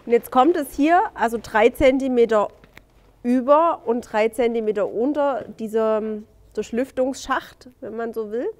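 A woman's voice: a little high, measured pace, darkish tone, a little hiss or hum. A woman showing a hands-on building step, chatty and playful, tossing in little sound-effect words. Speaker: tiny house builder. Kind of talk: casual hands-on demo.

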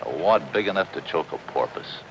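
An actor playing a cynical, world-weary, money-minded middle-aged deep-sea diver, wisecracking in a 1950s television crime drama. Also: male voice, lively intonation, a little fast, creaky, muffled recording, slight background noise, full character voice. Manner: sardonic, wisecracking.

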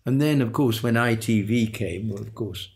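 An older man, a veteran voice actor, reminiscing in an interview with a halting, repetitive way of talking and soft chuckles. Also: lowish voice. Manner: halting, repeats words, chuckles softly.

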